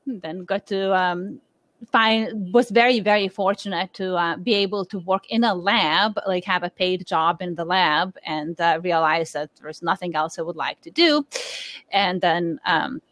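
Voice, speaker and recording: A woman's voice: fairly low pitch, unhurried, fairly bright tone, dry recording. A woman in her forties from Lithuania, a research scientist answering interview questions in a thoughtful, hesitant way with many fillers.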